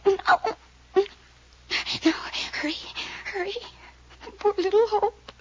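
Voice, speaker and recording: A woman's voice: extremely high pitch, slow. An actress playing a frightened young woman who pleads and whispers in fear, in 1940s radio drama style. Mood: pleading. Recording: old radio transcription with faint hiss.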